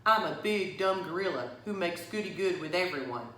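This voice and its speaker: A woman's voice: lowish voice, lively intonation, normal speed, bright, forceful tone, reverberant. A woman reading a storybook, voicing a mocking schoolboy bully who hoots and jeers like a gorilla. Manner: jeering, exaggerated hooting.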